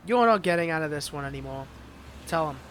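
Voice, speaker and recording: Male villain, played by an actor for romantic audio drama: scorned ex, mocking and seductive, teasing drawn-out delivery, clearly noisy outdoor backdrop. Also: a little high, lively intonation, fairly bright tone. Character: flirtatious, taunting male villain, a bitter scorned ex-lover. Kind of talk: dramatic audio roleplay acting addressed to listener.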